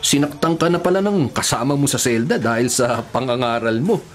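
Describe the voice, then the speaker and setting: An actor playing a big, steady male cell leader who speaks calmly and with authority in a radio drama. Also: mid-range voice, fairly quick, close to an everyday voice. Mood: persuasive. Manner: calm, firm, authoritative. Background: music.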